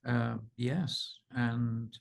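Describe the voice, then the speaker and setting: A man's voice: mid-range voice, fairly even intonation, very slow, creaky. A man hosting a video call, talking in an easygoing way and laughing often. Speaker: host. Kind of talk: casual chat.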